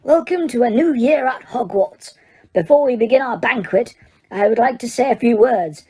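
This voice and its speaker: A woman's voice: a little high, normal speed, husky, low-quality recording. A woman voicing an eccentric, beaming old wizard headmaster who speaks grandly. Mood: whimsical. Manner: warm, grand, whimsical.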